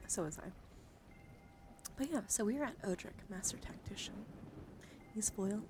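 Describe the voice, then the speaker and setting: A woman streamer talking casually in an ASMR style, soft-spoken and chatty, at times close to whispering. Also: medium pitch, expressive, normal speed, over music. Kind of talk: casual ASMR-style card show-and-tell livestream. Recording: clear background noise.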